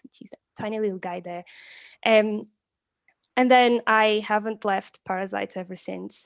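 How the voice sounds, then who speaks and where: A woman's voice: mid-range voice, clean tone, no echo. A woman giving an informal online conference talk as guest speaker, chatty and a little hesitant in her phrasing. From Portugal. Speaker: guest speaker, postdoctoral researcher. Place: online live stream, video call.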